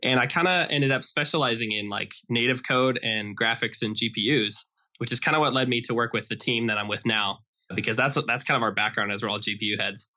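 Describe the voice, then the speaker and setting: Man, American accent, bright crisp-edged tone, medium pitch, casual explanatory interview talk. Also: brisk. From Idaho, United States. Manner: casual, explanatory, says "like" often. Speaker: interview guest, software developer. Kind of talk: conversational podcast interview.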